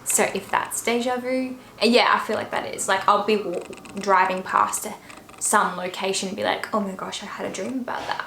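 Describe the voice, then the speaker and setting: A young Australian woman chats through viewer questions in an easy, rambling way, with plenty of fillers and trailing asides. Her voice sits at a middle pitch. The room is echoey, with faint noise underneath. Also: steady tone, normal speed, vocal fry, bright, forceful tone. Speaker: YouTuber. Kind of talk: casual Q&A vlog.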